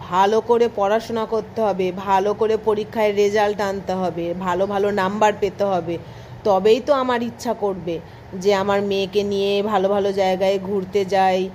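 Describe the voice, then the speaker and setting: A woman's voice: mid-range voice, a little mellow, slight background noise, close to an everyday voice. A woman playing a caring but strict mother in a cartoon, scolding and coaxing. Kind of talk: amateur cartoon voice acting.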